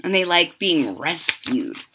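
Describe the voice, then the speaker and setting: A middle-aged woman reading a picture book aloud to children, dramatic and deliberate, with simple explanatory asides. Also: on the low side, sing-song, background noise. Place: indoors, small home room.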